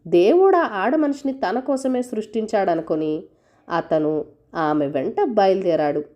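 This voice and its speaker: A woman's voice: mid-range voice, lively intonation, unhurried, dark tone. A woman narrating a scripted folk tale for children as a storyteller.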